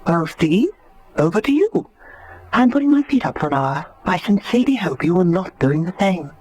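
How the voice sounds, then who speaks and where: A woman's voice: on the low side, sing-song, normal speed, a little mellow, slightly rough. An actress playing a poised, breezy, well-bred female adventurer in a radio drama.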